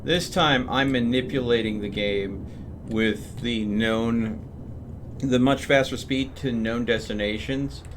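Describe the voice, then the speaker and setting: Casual male streamer, slightly low voice, muttering through his decisions, noticeably noisy recording. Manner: thinking aloud to himself. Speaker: game streamer. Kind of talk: casual live game commentary.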